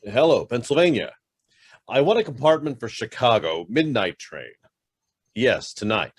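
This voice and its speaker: A man acts out a middle-aged businessman in a radio drama. He is curt and demanding, interrogating with guarded suspicion. His pitch swings widely and his voice has a husky grain. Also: lively intonation, moderate pace. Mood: brisk, commanding. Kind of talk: stage-style radio drama acting.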